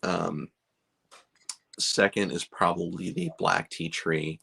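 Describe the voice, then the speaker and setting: A man's voice: low voice, fairly even intonation, slow, vocal fry, bright, forceful tone, breathy edge. A middle-aged man from Chicago, a beard company owner, chatting casually on a livestream in a rambling, self-deprecating way with lots of fillers.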